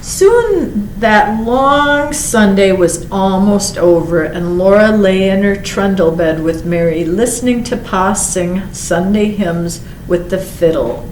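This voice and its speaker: A woman reading a children's picture book aloud as a library storyteller, expressive, drawing out words and adding small asides. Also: medium pitch, slow pace, hardly any pauses. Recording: roomy echo with faint background noise.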